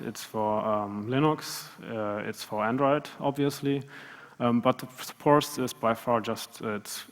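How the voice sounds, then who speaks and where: A man giving a live coding demo at a conference, talking hesitantly with many fillers and restarts. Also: medium pitch. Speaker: conference speaker. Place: large conference room. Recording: long hall reverb.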